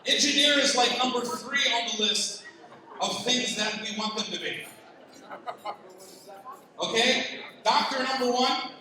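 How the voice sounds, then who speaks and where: A Palestinian man doing a lively stand-up set, teasing the crowd and jumping up in pitch when excited. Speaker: stand-up comedian. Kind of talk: stand-up comedy set at a gala. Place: large banquet hall. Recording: far-off mic in an echoey banquet hall with noise.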